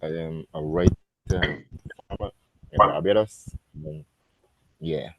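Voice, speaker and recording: A man who is a writer, introducing himself in a relaxed, hesitant way on a video call. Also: rumbling low, takes it slowly, lo-fi sound. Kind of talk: self-introduction. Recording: noisy call line.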